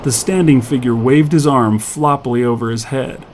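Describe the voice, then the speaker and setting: A man's voice: medium pitch, normal speed, darkish tone, slight background noise. A man narrating scripted fiction as a storyteller.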